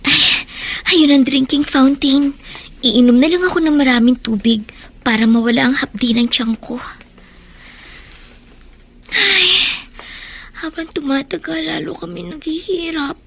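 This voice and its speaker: A woman's voice: sits high, measured pace, gravelly, long pauses, fairly bright tone, exaggerated voice. A woman playing a poor, hungry, dutiful child in Filipino radio drama, plaintively talking to herself, with a Filipino accent. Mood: weary, plaintive. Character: poor hungry schoolchild, dutiful and self-pitying.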